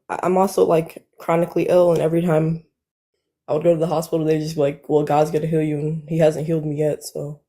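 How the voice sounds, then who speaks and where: A woman in her twenties calling into a live stream, speaking softly and hesitantly with a youthful-sounding voice. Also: sits low. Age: 20s. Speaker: caller. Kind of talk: casual live stream conversation.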